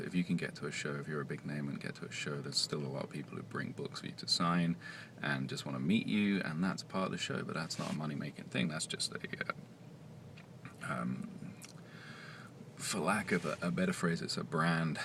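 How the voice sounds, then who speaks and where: Very deep, gravelly soft-spoken man. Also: moderate pace, background noise. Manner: quiet, soft-spoken, hesitant with many fillers. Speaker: independent comic writer. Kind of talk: casual vlog monologue.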